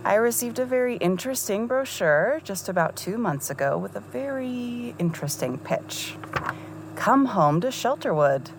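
A woman's voice: mid-range voice, sing-song, moderate pace, slight background noise. A woman playing a chatty, amused scam-debunking podcast host in an audio drama, talking casually. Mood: amused, intrigued.

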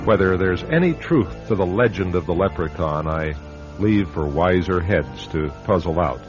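A man with a deep voice hosts an old-time radio show. His scripted narration is formal and measured, with expressive intonation, on a muffled recording over faint music. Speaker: host.

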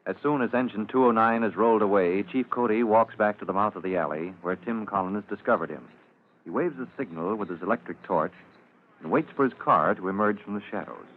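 A man's voice: lowish voice, a little fast, a little hiss or hum. A man narrating a vintage radio serial as its announcer, formal and dramatic. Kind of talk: old-time radio scripted narration. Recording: narrow, tinny vintage radio recording.

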